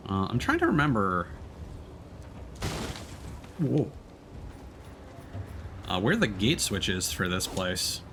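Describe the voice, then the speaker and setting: Lively male game streamer, swooping pitch. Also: slow, long pauses, a little hiss or hum. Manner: friendly, addresses chat viewers by name. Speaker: video game livestreamer. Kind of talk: casual chatty stream talk while playing.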